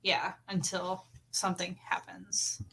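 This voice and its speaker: A woman talking casually as a player in a tabletop game, deliberately describing what her character does. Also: fairly low pitch, little pitch movement, creaky, fairly bright tone, slight background noise, poor audio.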